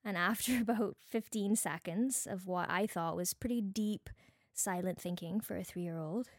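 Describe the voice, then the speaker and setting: A woman with a Bajan accent giving an earnest, deliberate devotional teaching talk as a host, mixing prepared points with personal reflection. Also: mid-range voice, no echo, clean studio sound. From Barbados.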